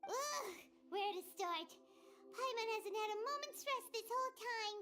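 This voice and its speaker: A woman voicing a small, excitable girl companion in a video game, chattering in bouncy, rapid bursts. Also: over music. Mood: excited. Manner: bouncy, rapid, swooping high exclamations.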